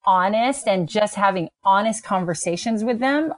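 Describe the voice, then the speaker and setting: A woman speaks casually as a podcast panelist, in a middle-range pitch. She is earnest and measured, thinking her points through aloud. The sound is dry, with hardly any room on it. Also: no room sound. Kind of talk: casual discussion.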